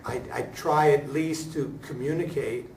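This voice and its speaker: A man's voice: on the high side, measured pace, a little mellow. A man speaking informally as a performer taking feedback in a discussion. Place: indoor room at a post-reading talkback. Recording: distant roomy recording with faint noise.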